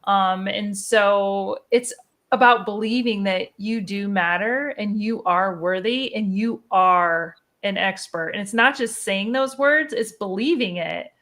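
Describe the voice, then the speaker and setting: A woman's voice: mid-range voice, unhurried, fairly bright tone. Earnest, encouraging woman guest. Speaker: podcast guest. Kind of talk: motivational conversational answer.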